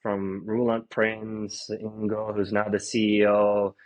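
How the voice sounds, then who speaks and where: A man in his thirties from Sweden, a fintech founder answering interview questions in an easygoing, story-like way with many pauses. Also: fairly low pitch, dull audio. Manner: relaxed storytelling with frequent "uh" pauses.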